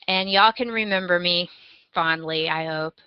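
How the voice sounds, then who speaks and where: A woman's voice: on the low side, measured pace, clean tone, no echo. Woman chatting, dry muffled sound. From United States. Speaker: podcast co-host. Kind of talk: casual podcast chat, giving a recommendation.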